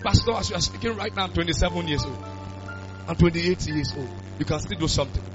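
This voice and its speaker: A man, preaching a sermon with loud bursts of emphasis. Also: fairly high pitch, fast. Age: 20s. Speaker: pastor. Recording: dull lo-fi sound with music.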